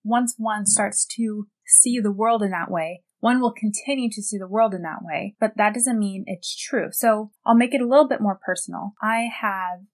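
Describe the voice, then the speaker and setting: A soft-spoken woman delivering a solo opinion commentary in a measured, reflective way. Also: mid-range voice.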